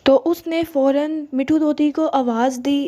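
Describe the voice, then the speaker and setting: A woman tells a children's moral story in a soft-edged, mellow voice. Her pitch sits a little high, and she speaks as a storyteller narrating a simple tale. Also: steady tone, a little slow.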